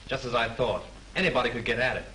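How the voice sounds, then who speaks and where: An actor playing an assertive, interfering amateur sleuth in 1930s film style. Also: male voice, mid-range voice, quick pace, bright, forceful tone, close to an everyday voice. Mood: insistent. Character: insistent, interfering amateur sleuth arguing his case. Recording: noisy early 1930s film soundtrack.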